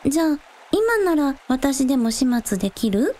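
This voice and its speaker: A woman's voice: sits high, very animated, normal speed, a little mellow. A woman playing a casual, blunt young village idol in a radio drama, with breezy, slangy, matter-of-fact delivery. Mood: resolute. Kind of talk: Japanese radio drama acting.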